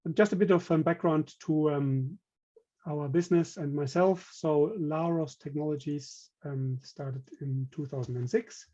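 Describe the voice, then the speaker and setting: A man's voice: on the high side, steady tone, measured pace, warm tone, muffled recording. A man with a German accent giving a technical webinar presentation, speaking hesitantly with many fillers and restarts. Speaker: company director presenting.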